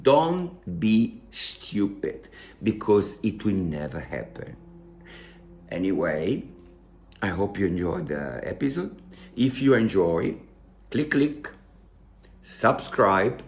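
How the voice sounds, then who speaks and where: A man with an Italian accent telling a personal story to his viewers in halting, emotional English, repeating words as he searches for them. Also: fairly low pitch, very animated, slight background noise. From Italy. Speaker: vlogger. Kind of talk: casual storytelling to camera.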